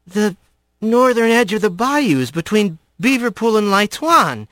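Man putting on a plucky, high-pitched little rat. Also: very animated, unhurried, a bit bright, no echo, studio quality, heavily acted. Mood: eager. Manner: indignant, folksy, polite to betters. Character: plucky, scrappy male rat, small and plain-spoken. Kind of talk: storybook character reading.

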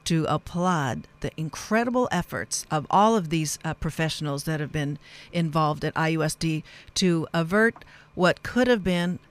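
Smooth-voiced woman hosting a radio interview. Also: low-pitched, expressive, normal speed. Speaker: radio show host.

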